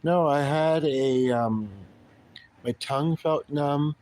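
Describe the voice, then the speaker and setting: A middle-aged man from Canada chatting casually on his own livestream, rambling and halting with many pauses and restarts. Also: a little high, darkish tone. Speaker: livestream host.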